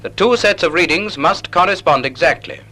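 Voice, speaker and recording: A man narrating a 1940s naval instructional film in a measured, didactic, precisely enunciated manner on a period film soundtrack. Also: sits high, animated, bright, forceful tone.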